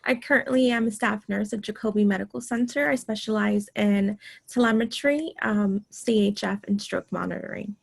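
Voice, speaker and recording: A woman's voice: medium pitch, normal speed, creaky, slightly rough. A woman from Guyana who works as a staff nurse, giving a composed, matter-of-fact introduction of herself over a video call. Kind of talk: brief self-introduction.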